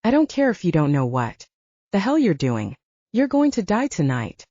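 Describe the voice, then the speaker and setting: An angry brute of a werewolf fighter, acted out by a woman narrator, aggressive and challenging in an audiobook tale. The pitch jumps around with menace, over slightly dull audio. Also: big pitch swings, moderate pace, warm tone, no room sound. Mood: angry, menacing. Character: angry, brutish, heavy male werewolf fighter.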